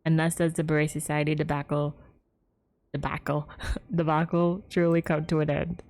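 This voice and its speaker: A woman's voice: low-pitched, little pitch movement, normal speed, darkish tone. A woman streaming a game, reacting casually with giggles and gasps and reading text aloud. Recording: game music behind.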